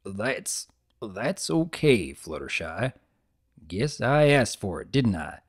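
A man's voice: fairly low pitch, big pitch swings, slow, slightly rough, pitched below the performer's own voice, exaggerated voice. A man voicing a kind, laid-back male farm pony with folksy, plain speech in a storybook reading. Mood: shaken.

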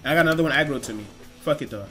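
A young man giving casual gameplay commentary with friends, excitable and foul-mouthed, slangy and often repeating himself. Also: a little high, rapid-fire, soft background music. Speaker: gaming YouTuber.

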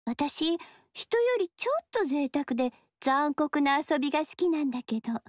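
A playful, cruel sorceress purrs her lines in a high, sweet voice. Her delivery swings in lively, teasing curves. The recording is dry and close. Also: normal speed, no echo. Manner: coquettish, teasing, sweetly menacing. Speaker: game character voice. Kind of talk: video game dialogue.

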